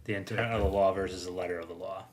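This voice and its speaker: A man's voice: deep voice, fairly even intonation, rapid-fire, slight background noise. A man hosting a casual discussion show, talking conversationally as he frames questions for his guests. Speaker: podcast host.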